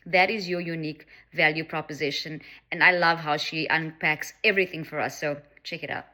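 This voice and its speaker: A woman's voice: sits low, fairly even intonation, a little fast, sharp tone. A woman hosting a podcast, introducing an episode in an upbeat, chatty way. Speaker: podcast host. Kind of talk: casual solo intro.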